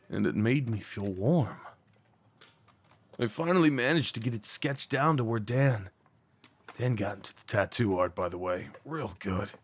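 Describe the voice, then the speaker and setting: A man playing a remorseful young former delinquent tenderly confessing old love in an intimate roleplay audio drama, speaking haltingly and earnestly. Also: medium pitch, husky. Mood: nervous, tender. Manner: halting, confiding, emotionally earnest.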